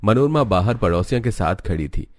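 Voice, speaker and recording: A man's voice: lowish voice, expressive, a little fast, no echo, clean studio sound. A man narrating a scripted cartoon story as its storyteller.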